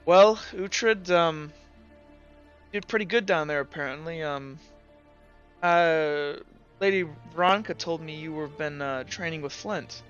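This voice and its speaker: A man's voice: on the high side, measured pace, fairly bright tone, smooth, highs cut off, soft background music. Man playing a grave, hesitant woman commander. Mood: concerned, questioning. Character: stern but caring female military commander.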